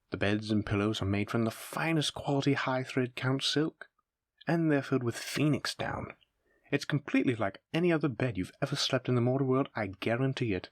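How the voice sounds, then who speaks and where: A man's voice: fairly low pitch, no room sound, clean studio sound. A man playing a polite, eager demon front desk clerk in a scripted roleplay, with formal, effusive hospitality patter. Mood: courteous, persuasive. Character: courteous, eager demon hotel receptionist, smooth salesman. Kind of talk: scripted roleplay audio addressed to the listener.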